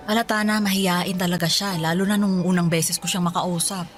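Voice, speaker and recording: A caring middle-aged mother chats gently with her grown son, played by an actress. Her voice sits a little low, and soft music plays faintly under the radio drama scene. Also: steady tone, brisk, lightly acted. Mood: motherly.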